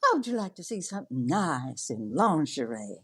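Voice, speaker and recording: A woman's voice: low-pitched, very animated, moderate pace, breathy edge, full character voice. Flirty southern salesgirl, sweet and gushy, real swoopy voice, radio comedy. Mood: gushing, flirty. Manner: gushing, coy. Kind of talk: 1940s radio comedy acting.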